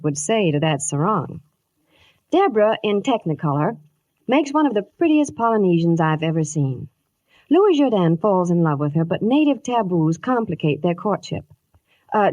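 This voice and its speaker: A woman's voice: lowish voice, darkish tone, dry recording. A woman reading scripted Hollywood news and sponsor copy in a 1950s radio style. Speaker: Hollywood reporter and sponsor pitchwoman.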